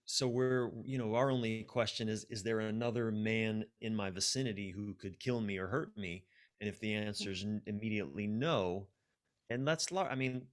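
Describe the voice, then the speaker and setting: Low-voiced man, reflective and emphatic. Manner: reflective, halting, with emphatic repetition of key words. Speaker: podcast guest, life and relationship coach. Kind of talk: conversational podcast interview, explaining ideas.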